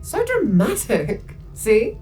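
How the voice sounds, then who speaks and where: Sardonic fantasy healer, swooping intonation. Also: female voice, fairly high pitch, wildly swooping pitch, slow, warm tone, soft background music, full character voice. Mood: exasperated. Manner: dry, exasperated. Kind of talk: video game voice acting.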